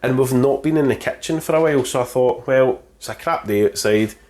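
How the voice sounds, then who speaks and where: A man's voice: medium pitch, brisk, a little mellow. A man, stuffy with a cold, giving a cheeky, jokey golf gear review from his kitchen. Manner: cheeky, jokey, full of innuendo. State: congested with a cold. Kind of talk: casual product review to camera. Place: home kitchen.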